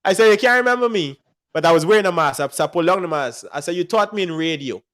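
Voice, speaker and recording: Man, Guyanese accent, casual talk host, animated storytelling, slightly high voice. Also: fast. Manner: animated storyteller, laughs often. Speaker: podcast host. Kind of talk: casual chat.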